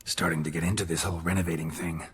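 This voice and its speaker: A man playing a gruff, world-weary monster hunter in a video game, husky and gravelly, terse and dryly understated. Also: sits low, level tone, racing, in a large hall, slight background noise, close to an everyday voice. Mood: matter-of-fact.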